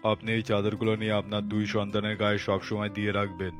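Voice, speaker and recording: A man's voice: lowish voice, completely flat delivery, quick pace, soft background music, natural voice. A man voicing a kindly, dutiful doctor in a moral cartoon story, speaking in an earnest, advising way.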